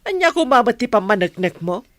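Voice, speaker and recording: A woman's voice: a little high, big pitch swings, fairly quick, no room sound. Reproachful elder sister with a swooping voice woman acting. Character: cautious, principled elder sister, reproachful and reluctant. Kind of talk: Ilocano radio comedy drama acting.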